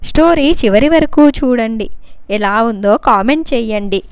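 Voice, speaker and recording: High, clear-voiced woman storyteller. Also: expressive, a little slow, no room sound, studio quality. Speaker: story narrator. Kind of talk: scripted story narration.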